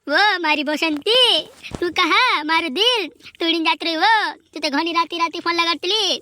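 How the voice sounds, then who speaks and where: A performer voicing a heartbroken, melodramatic cartoon lover in a squeaky, whining voice. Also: measured pace, bright, forceful tone, slight background noise, full character voice. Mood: wounded, pleading. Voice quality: squeaky, artificially high voice. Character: heartbroken cartoon lover wailing over betrayal, squeaky and dramatic. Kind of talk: amateur comedy cartoon dub.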